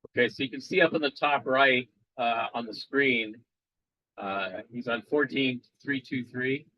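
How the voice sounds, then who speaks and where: A man's voice: medium pitch, a little slow, dry recording, dull audio. A man giving a live demo at an amateur radio club meeting, rambling with frequent restarts and quizzing the audience.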